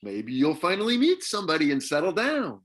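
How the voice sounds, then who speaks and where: A man playing an exasperated dad, wildly varied pitch. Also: wildly swooping pitch, a little fast, a bit bright, exaggerated voice. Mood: sarcastic, blunt. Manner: blunt, sarcastic. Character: exasperated, sarcastic retired father of a grown son. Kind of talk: comedy script table read.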